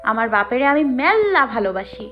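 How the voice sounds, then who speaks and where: A woman's voice: a little high, big pitch swings, a little fast. A woman playing a young, outspoken village bride with a rural Bangladeshi accent in an audio drama, plaintive and reproachful. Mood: defensive. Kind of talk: Bengali audio story acting. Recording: dull lo-fi audio with music.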